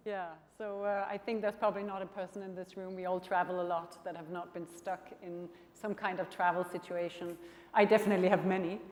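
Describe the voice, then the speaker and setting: A middle-aged woman from Denmark, an insurance executive speaking on stage, with thoughtful, slightly halting phrasing. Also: on the low side, little pitch movement, a little mellow. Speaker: panel guest, insurance executive. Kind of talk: onstage conversation. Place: conference stage. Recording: reverberant conference hall.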